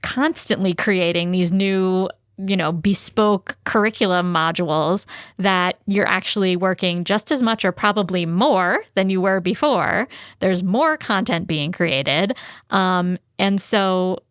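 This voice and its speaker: A woman with a fairly low voice co-hosts a discussion podcast. She explains ideas in a conversational way, working through concrete examples. The sound is close and dry, free of room echo. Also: unhurried, smooth. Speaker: podcast co-host.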